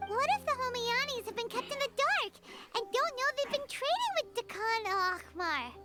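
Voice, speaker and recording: Super high squeaky little game fairy girl, earnest worrier, voice bouncing all over. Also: very animated, normal speed, over loud music. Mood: puzzled. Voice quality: squeaky, childlike. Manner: speaks of self in third person. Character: tiny childlike female floating fairy companion, earnest, worried. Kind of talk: video game character acting.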